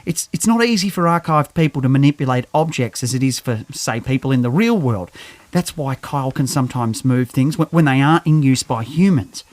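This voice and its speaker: A man's voice: mid-range voice, animated, fairly quick, natural voice. A man playing a nerdy, hesitant scientist in an audio drama, stammering and rambling. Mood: hesitant, thoughtful. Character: nerdy, hesitant male scientist with boyish glee.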